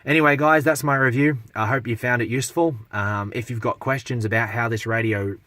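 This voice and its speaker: A man's voice: mid-range voice, fairly even intonation, a little fast, pushed and bright, no room sound. A man giving a casual, unscripted product review, blunt and matter-of-fact, with some hesitation as he talks.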